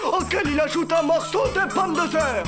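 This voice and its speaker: A man voicing an excitable, bossy schoolboy in a French cartoon, announcing things with grand emphasis. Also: very high-pitched, moderate pace. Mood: grandiose commentary. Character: excitable bossy schoolboy, best friend and self-appointed contest announcer. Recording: music behind the voice.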